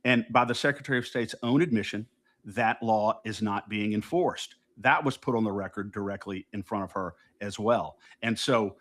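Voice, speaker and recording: A man's voice: on the low side, normal speed. Indignant man. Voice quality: loud voice. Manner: emphatic, indignant, many restarts. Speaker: co-host of political talk show. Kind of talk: casual political discussion.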